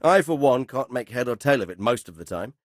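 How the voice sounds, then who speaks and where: A man playing a measured, fatherly but stern middle-aged medieval lord in a video game, speaking formally and with authority. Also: mid-range voice, expressive, no room sound, studio quality, natural voice. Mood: measured, explaining. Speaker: lord giving orders and counsel. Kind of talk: dramatic video game dialogue.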